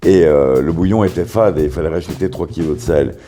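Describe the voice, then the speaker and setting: Deep-voiced hoarse man with a cold. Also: a little fast, mellow, slight background noise. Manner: joking, chatty, talks straight to the viewer. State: sick with a cold, sore throat. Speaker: cooking vlogger. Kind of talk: casual cooking video narration. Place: his bedroom. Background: guitar music.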